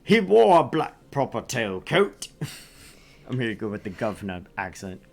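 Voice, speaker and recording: A man streaming a visual novel, reading narration aloud and chatting with his co-host, animated and quick to laugh. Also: medium pitch, gravelly. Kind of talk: game stream reading and chat.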